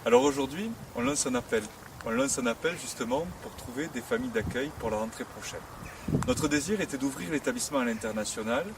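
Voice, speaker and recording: Upbeat school head, distant outdoors. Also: male voice, mid-range voice, lively intonation, fairly quick. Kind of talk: promotional appeal to camera. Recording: distant mic, street noise.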